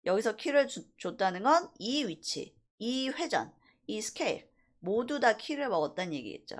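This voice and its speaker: A woman instructor giving a casual software tutorial, talking through each step conversationally and checking in with short rhetorical questions. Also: fairly high pitch, very animated, normal speed. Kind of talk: casual screen-recorded software tutorial, thinking aloud while demonstrating.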